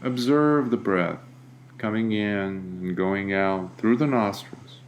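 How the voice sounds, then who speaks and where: A man leading a guided meditation as a teacher, speaking gently and deliberately with long pauses. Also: fairly low pitch, highs cut off, a little hiss or hum.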